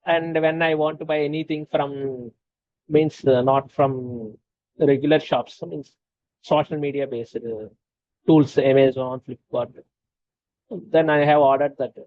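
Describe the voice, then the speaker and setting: Halting Telugu-speaking man, fairly high voice. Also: fairly even intonation, dry recording. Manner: halting, many fillers and restarts. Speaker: conversation guest. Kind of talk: casual conversation. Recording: dull lo-fi sound.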